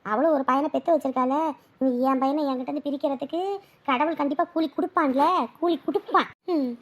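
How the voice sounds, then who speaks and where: A woman's voice: sits high, steady tone, fast talker, highs cut off, natural voice. An actress playing a bitter, self-pitying old mother-in-law who grumbles and complains. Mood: aggrieved.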